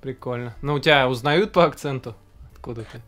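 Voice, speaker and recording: A young man hosting a casual video-call interview, asking short relaxed questions. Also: medium pitch, brisk, faint music behind. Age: young adult. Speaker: host. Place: indoor home room.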